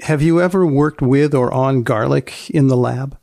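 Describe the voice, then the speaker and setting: A man hosting a podcast, narrating and asking questions in a measured, deadpan way. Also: mid-range voice, gravelly, no echo, studio quality. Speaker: podcast host and magazine editor.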